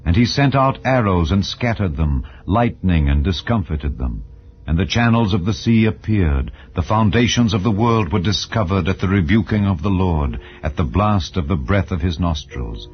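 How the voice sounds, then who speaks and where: A man narrating scripture in a solemn, dramatized style, with measured, declamatory delivery of archaic English. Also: sits low, animated, slight background noise. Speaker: audiobook Bible narrator. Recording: dull, lo-fi audio under music. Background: background music.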